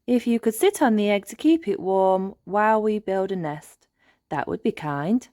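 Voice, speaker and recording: Fairy nanny played by a woman, storybook. Firm, instructive, matter-of-fact, expressive pitch. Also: moderate pace, no room sound, studio quality, natural voice. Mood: polite.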